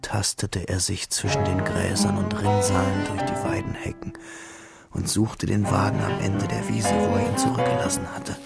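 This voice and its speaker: A man reading scripted literary narration for a radio drama, with a gravelly voice. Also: low voice, little pitch movement, normal speed. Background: music.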